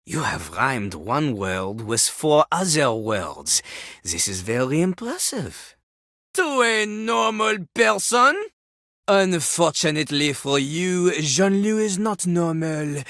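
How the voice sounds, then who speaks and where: A woman's voice: low voice, very animated, slow, fairly bright tone, no echo, studio quality, heavily acted. A woman voicing a cocky, ruthless talking toilet rapper in a video game, with a brash, mocking, grandiose manner. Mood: smug. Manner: brash, mocking, grandiose, speaks of self in third person. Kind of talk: animated video game character acting.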